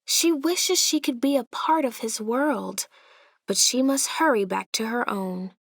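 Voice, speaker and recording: A woman narrating a children's storybook aloud in an expressive way, with deliberate, dramatic emphasis. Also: on the high side, vocal fry, no room sound.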